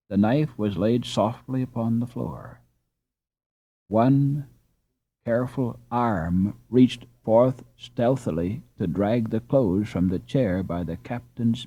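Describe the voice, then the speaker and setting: A man narrating an audiobook adventure in a suspenseful, expressive, dramatic storytelling style. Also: on the low side, takes it slowly, slightly rough, lo-fi sound.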